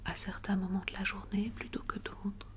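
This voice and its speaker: Woman, ASMR doctor roleplay. Whispered, breathy and even, with a gentle bedside manner. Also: medium pitch, normal speed.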